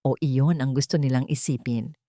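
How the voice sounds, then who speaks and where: A woman's voice: rumbling low, steady tone, normal speed, mellow, dry recording, studio quality. A woman reading scripted dramatic narration as a storyteller.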